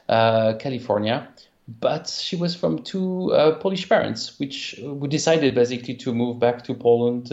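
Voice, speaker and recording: French man with gravelly, echoey call audio. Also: mid-range voice, a little mellow, dull audio. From France. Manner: halting, many restarts and fillers, laughs easily. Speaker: game company founder and guest. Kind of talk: casual interview over a call.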